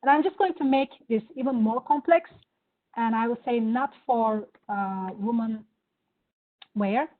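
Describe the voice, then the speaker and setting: A woman with a Turkish accent giving an enthusiastic live software demo, with many fillers. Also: fairly high pitch, a little slow. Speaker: presenter. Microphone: video call. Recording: phone-like online meeting audio.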